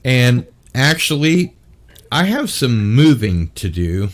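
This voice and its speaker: A tired man hosting a casual online panel chat, talking in a halting way with many fillers. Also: medium pitch, sing-song. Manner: halting, full of fillers and restarts. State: tired from little sleep. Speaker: host.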